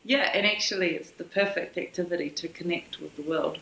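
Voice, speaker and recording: Low-voiced New Zealand woman, echoey room. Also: brisk, creaky, fairly bright tone. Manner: reflective, loose and searching, frequent restarts. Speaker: guest, brand founder. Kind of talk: casual conversational interview. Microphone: remote video call.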